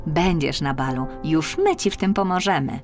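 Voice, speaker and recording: A woman voicing kindly little helper doves, gentle and soothing, in a children's fairy tale. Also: sits low, normal speed, soft background music, lightly acted. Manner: gentle, whispery.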